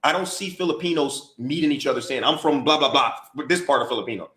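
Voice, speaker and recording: A man's voice: medium pitch, fast, fairly bright tone. An American man co-hosting a casual podcast chat, talking emphatically and repeating himself for emphasis. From United States. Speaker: podcast co-host and DJ. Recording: roomy echoey podcast audio.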